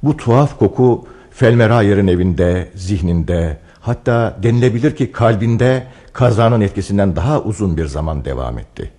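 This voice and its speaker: A man narrating a radio drama as a solemn storyteller, with measured, dramatic delivery. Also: fairly low pitch, animated, dark tone. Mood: solemn, literary. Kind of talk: scripted radio play narration.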